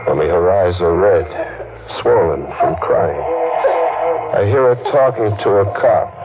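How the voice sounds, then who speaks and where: A man's voice: low-pitched, expressive, unhurried. An actor playing a desperate, frantic man who narrates his own doom in a 1940s radio drama. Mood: haunted. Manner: frantic and pleading, then hushed inner monologue. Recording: dull old radio recording with music.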